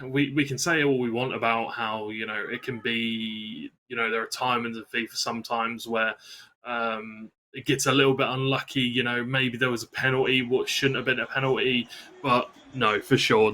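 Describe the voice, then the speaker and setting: Man, bright sharp voice, medium pitch, esports analyst, live match commentary, noisy audio. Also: little pitch movement, moderate pace.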